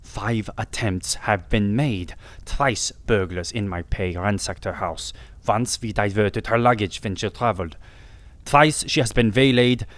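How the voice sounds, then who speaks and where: A man's voice: lowish voice, unhurried, fairly bright tone, no room sound. Storybook monarch, thirty, male narrator acting as imperious yet anxious, grave and formal, close dry recording. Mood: regretful. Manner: solemn, formal, emphatic.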